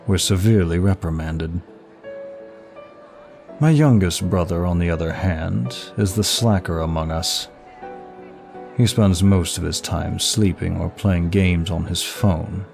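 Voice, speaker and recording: A very low-voiced demon of lust acted by a man speaks slowly and smoothly over clear background music. He is courtly and flirtatious, paying compliments as if in a private audio roleplay. Every phrase is polite, measured and seductive. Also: a little mellow. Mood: charming, confiding. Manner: smooth, flirtatious, formal, unhurried. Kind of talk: intimate ASMR-style roleplay, one side of a dialogue.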